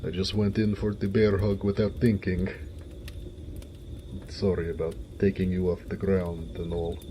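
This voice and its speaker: Man playing a low, unhurried orc blacksmith. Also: little pitch movement, warm tone, slight background noise. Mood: sheepish, tender. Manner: folksy, affectionate, easygoing. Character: big, warm-hearted orc blacksmith, affectionate and jovial. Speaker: audio roleplay voice actor. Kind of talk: intimate romantic audio roleplay, one-sided conversation.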